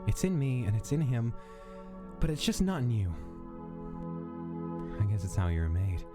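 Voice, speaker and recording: A man's voice: big pitch swings, moderate pace, over loud music, close to an everyday voice. A man playing a weary, protective skeleton brother. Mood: soothing. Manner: soft, reassuring, low-key. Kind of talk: fan comic dub.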